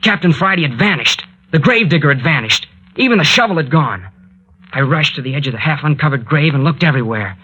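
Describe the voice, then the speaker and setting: An actor playing an earnest, excitable young adventurer in a 1940s radio drama, with a colloquial, solicitous way of talking. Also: male voice, fairly high pitch, quick pace, slightly rough, dull audio, heavily acted. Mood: tense, urgent. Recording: vintage 1940s radio recording.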